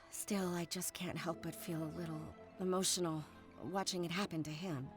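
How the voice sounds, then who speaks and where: A woman playing a caring, soft-hearted young woman in a video game, speaking politely and earnestly. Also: fairly low pitch, fairly even intonation, normal speed, music in the background, close to an everyday voice. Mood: wistful concern.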